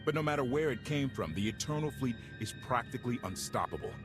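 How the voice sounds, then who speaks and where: An actor playing an easygoing, enthusiastic starship pilot in a video game, talking casually. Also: male voice, mid-range voice, lively intonation, fast talker, over music, close to an everyday voice. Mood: animated, casual. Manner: casual, conversational. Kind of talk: naturalistic video game acting.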